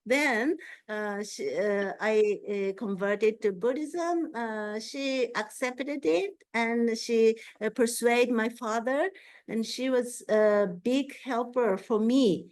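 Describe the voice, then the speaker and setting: Woman zen teacher, kinda high voice, talks real slow and halting, lots of mm-hmms. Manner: halting, self-conscious about her English, frequent laughs; slow and warm, many soft agreeing murmurs. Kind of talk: Q&A after a dharma talk.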